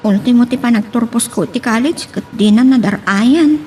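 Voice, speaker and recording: A woman's voice: fairly high pitch, moderate pace, darkish tone, slight background noise, lightly acted. A woman with an Ilocano Filipino accent playing a young, dutiful, soothing student daughter in an audio drama. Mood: hopeful. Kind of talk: Ilocano radio-style drama acting.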